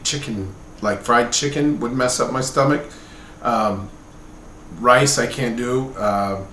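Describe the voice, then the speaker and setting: A man's voice: fairly low pitch, fairly bright tone. A man in his late forties giving a casual personal update to camera as a vlogger, speaking candidly and a little hesitantly. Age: late 40s. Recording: roomy echo with background noise.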